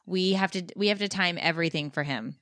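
A woman's voice: lowish voice, quick pace, a bit bright, no room sound. A woman in her thirties co-hosting a casual chat, blunt and swearing, reading parenting tips aloud with exasperated asides. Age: 30s. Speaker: podcast co-host.

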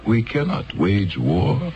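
An actor playing a grave, paternal elder of a peaceful future world in a 1970s radio drama, speaking solemnly and deliberately. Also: male voice, fairly low pitch, huge pitch swings, full character voice. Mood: grave, foreboding. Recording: dull lo-fi 1970s radio broadcast.

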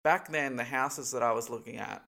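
A man's voice: medium pitch, moderate pace, creaky, bright, forceful tone. A young Australian man with a stuffy, congested nose, chatting casually to camera in a rambling way, full of ums and likes. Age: young adult. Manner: rambling, many ums and likes, laughs between points. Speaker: vlogger.

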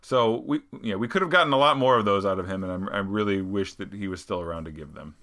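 Deep-voiced man with a bright edge. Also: quick pace. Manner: rambling, many fillers and restarts. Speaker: podcast host. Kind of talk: casual podcast chat.

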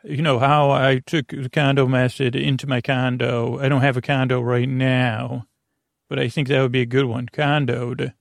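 A man's voice: mid-range voice, flat delivery, moderate pace, dry recording, studio quality. A man hosting a bedtime sleep podcast in a rambling monologue, often interrupting himself and trailing off mid-thought. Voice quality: creaky, gravelly. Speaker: podcast host.

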